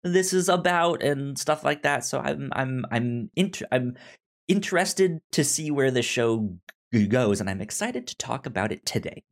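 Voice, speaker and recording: Man, podcast host, lively intonation, casual discussion, halting stammered delivery, average pitch. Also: creaky. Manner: halting, stammers on words and sounds.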